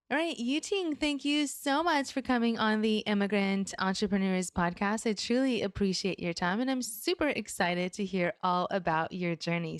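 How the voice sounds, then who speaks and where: A woman's voice: on the high side, clear, resonant tone, dry recording, studio quality. A woman hosting a podcast, reading a prepared introduction and then interviewing a guest over a call. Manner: reads a prepared introduction, then asks questions. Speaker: podcast host. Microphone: remote call recording.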